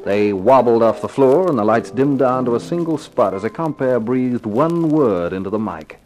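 A man's voice: medium pitch, animated, normal speed, darkish tone, lightly acted, poor audio. An actor playing a cool, wisecracking private eye in a 1950s radio detective drama, terse and wry in his slangy delivery. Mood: moody narration. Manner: terse, wry, slangy tough-guy talk. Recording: vintage 1950s radio recording with music.

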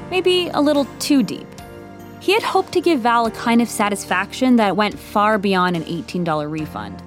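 A woman narrates an audio comedy-drama with measured, wry timing. Her voice sits at a middle pitch, with faint music behind it. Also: animated.

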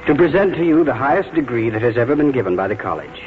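Man acting formal college dignitary, declamatory, lively pitch swings, vintage radio drama, narrow-band sound. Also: fast, lightly acted. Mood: ceremonious. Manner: ceremonious, declamatory. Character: formal college official conferring a high honor. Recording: 1940s radio broadcast, narrow band, faint noise.

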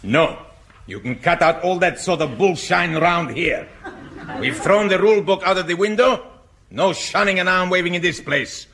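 An actor playing a ruthless, blunt guerrilla captain with a Spanish accent in a British sitcom, barking out instructions. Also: male voice, sits high, animated, normal speed, fairly bright tone, slight background noise. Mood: brusque, menacing.

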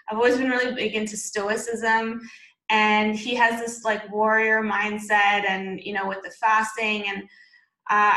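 A woman from Canada, a guest on a video call, talking in a chatty, energetic way with long running explanations. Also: a little high. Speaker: podcast guest, keto blogger and biochemistry student. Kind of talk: casual interview answers. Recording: roomy echo.

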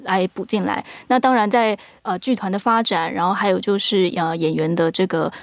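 Female audio drama producer, Taiwanese accent, medium pitch, earnest explainer, dry close sound. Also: steady tone, normal speed, no echo. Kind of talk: casual explanatory interview talk.

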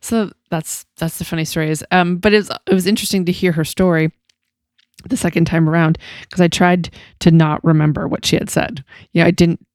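Low-voiced rambling podcast host woman. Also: steady tone, fairly quick, a little mellow, no echo, studio quality. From Massachusetts, USA. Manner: rambling, laughs often, swears casually. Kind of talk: casual podcast interview.

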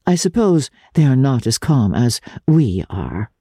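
A woman voicing a worldly, melancholy young countess, deliberate and gently ironic, in a dramatic reading. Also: rumbling low, big pitch swings, slightly rough, dry recording, clean studio sound, heavily acted. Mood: gentle irony.

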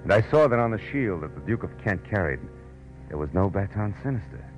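Low-voiced hard-boiled American private eye. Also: male voice, big pitch swings, brisk, muffled recording, faint music behind. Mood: tense narration. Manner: terse, tough, slangy. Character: hard-boiled, wisecracking, suspicious male private detective. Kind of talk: 1940s-50s radio detective drama acting, first-person narration and dialogue. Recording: noisy old radio broadcast recording.

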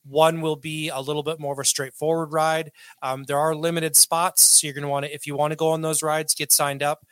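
A man's voice: fairly high pitch, little pitch movement, brisk, a bit bright, no echo, clean studio sound. A man hosting a casual podcast, loose and joking with crude humor. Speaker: podcast host.

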